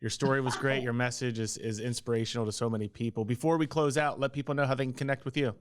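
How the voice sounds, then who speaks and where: A man hosting a podcast, wrapping up the episode with brisk promotional calls to action. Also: medium pitch, fairly even intonation, background noise. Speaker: podcast host. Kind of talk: podcast outro.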